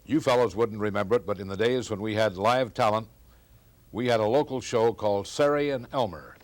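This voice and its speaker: A man's voice: lowish voice, brisk. An elderly man, a veteran radio broadcaster, telling unscripted stories among old colleagues.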